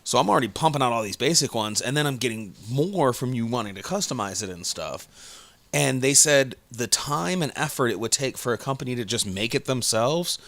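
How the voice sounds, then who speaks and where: A man's voice: mid-range voice, lively intonation, a little fast, a bit bright, dry recording. A man co-hosting a podcast, chatting casually and rambling with many fillers and restarts. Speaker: podcast co-host. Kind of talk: casual chat.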